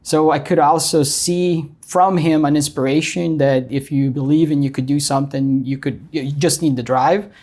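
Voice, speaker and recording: A man's voice: on the high side, fairly even intonation, normal speed, few pauses, a little mellow. A middle-aged man from Brazil with a Brazilian Portuguese accent, a guest in an interview, telling his story earnestly with many ums. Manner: frequent ums and uhs, earnest.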